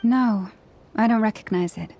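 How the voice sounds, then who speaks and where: A woman voicing a gentle, formal priestess in a video game, speaking in a composed, courteous and measured way. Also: medium pitch, soft background music, lightly acted. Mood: calm.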